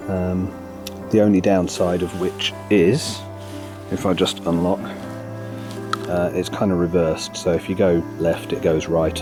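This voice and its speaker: A man with a croaky voice from a cold, talking casually and hesitantly while walking in a forest. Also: deep voice. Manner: relaxed, hesitant, many ums. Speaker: photography vlogger. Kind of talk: casual walk-and-talk narration. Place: outdoors in a forest.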